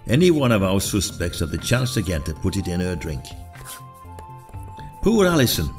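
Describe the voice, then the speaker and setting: Older male sleuth, played by an actor, low lively voice, gruff yet kind, for a village murder podcast. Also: moderate pace, faint music behind. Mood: wry, reflective. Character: shrewd retired village detective, gruff but kindly. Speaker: lead actor in a podcast drama. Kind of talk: audio drama acting and narration.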